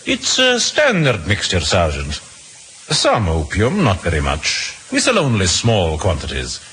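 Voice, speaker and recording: A man's voice: low-pitched, wildly swooping pitch, measured pace, a bit bright, full character voice. A male actor playing a cautious, matter-of-fact chemist in an old radio drama, hesitant as he explains. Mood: cautious, informative. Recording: hissy old radio recording.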